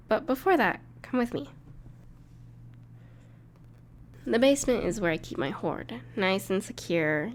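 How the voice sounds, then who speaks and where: A woman playing a gentle, bashful dragon in human form who loves cuddly things, speaking tenderly and reassuringly in an intimate audio roleplay. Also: medium pitch, close to an everyday voice. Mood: caring. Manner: tender, reassuring, playful, slightly hesitant. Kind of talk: soft-spoken intimate audio roleplay to the listener.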